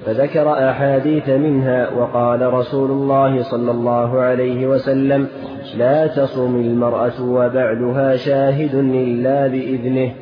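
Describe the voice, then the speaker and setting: Hadith reader, man, flat steady recitation, echoey lo-fi room with a crowd behind. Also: mid-range voice. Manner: flat, measured reading. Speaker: student reading hadith aloud to the sheikh.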